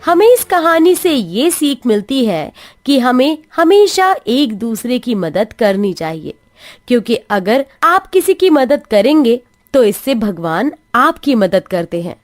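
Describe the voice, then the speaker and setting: A woman narrating a cartoon moral story in an expressive, sing-song way. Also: a little high, slow, soft background music.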